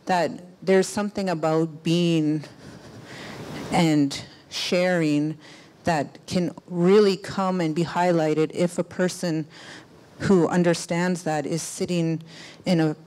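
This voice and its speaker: A woman in her thirties speaking informally and reflectively as a panelist, in halting phrases into a handheld microphone. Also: fairly low pitch, steady tone, darkish tone. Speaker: panelist, filmmaker. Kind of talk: personal storytelling in a panel discussion. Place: stage of a cinema auditorium. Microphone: handheld microphone held close.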